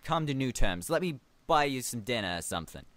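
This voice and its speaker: A man voicing a gruff aardvark street musician in an improvised story, with a wandering American accent. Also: medium pitch, very animated, a little fast, a bit bright, no room sound. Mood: apologetic. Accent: American, drifting between New York and Southern. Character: gruff apologetic aardvark street musician, male, bothered by cold.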